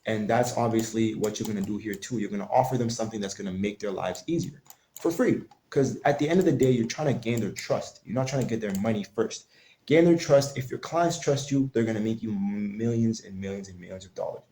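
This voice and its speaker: A man's voice: lowish voice, fairly quick, mellow. A man explaining online sales tactics in a loose, conversational tutorial, often restarting his sentences. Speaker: online marketing coach. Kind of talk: casual tutorial talk. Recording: echoey room.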